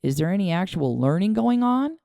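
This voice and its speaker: A firm, fond father's voice, a little louder and lower than the narration, put on by a woman reading a storybook. He speaks with dry teasing and a gravelly, mellow texture. Close and dry. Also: sing-song, brisk, no room sound.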